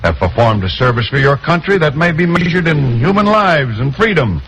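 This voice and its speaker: A man's voice: fairly high pitch, very animated, moderate pace. An American actor playing an earnest, persuasive male radio station boss in a 1950s radio drama, recorded on noisy old equipment.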